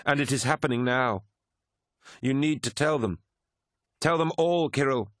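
A man voicing a grave woman prophet in an audiobook, speaking forcefully and urgently. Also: mid-range voice, fairly even intonation, normal speed, breathy edge, no echo, studio quality, pitched above the performer's own voice. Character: strong-voiced woman prophet giving grave urgent warning. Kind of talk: dramatic audiobook character reading.

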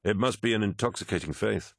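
A man voicing a commanding, brooding warlord in an audiobook, stern and deliberate. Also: lowish voice, gravelly, no room sound, clean studio sound.

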